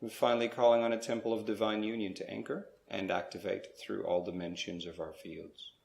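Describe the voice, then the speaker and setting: A man leading a slow guided spiritual meditation, speaking in measured short phrases with pauses between them. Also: fairly low pitch, level tone, vocal fry, a little mellow, echoey room.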